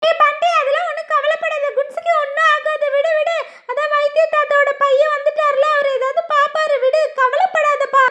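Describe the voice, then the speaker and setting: An eager little village girl from a cartoon chirps in an extremely high, squeaky child's voice. Her pitch stays flat, and a faint hiss sits behind her. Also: brisk, few pauses. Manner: squeaky, sing-song child voice. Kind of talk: cartoon voice acting.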